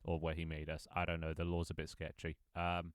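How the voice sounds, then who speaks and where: A man's voice: deep voice, monotone, a little fast, smooth, dry recording, studio quality. A man in his twenties streaming his own game, chatting casually with viewers, rambling with frequent ums and restarts. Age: 20s. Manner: rambling, lots of ums and restarts, reads chat aloud. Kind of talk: casual live-stream chat.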